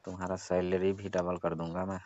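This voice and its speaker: A man's voice: low-pitched, monotone, fairly quick, darkish tone, a little hiss or hum. A man, speaking in a pressuring, domineering way in a private phone conversation. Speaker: employer on a call with worker. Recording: muffled phone call recording.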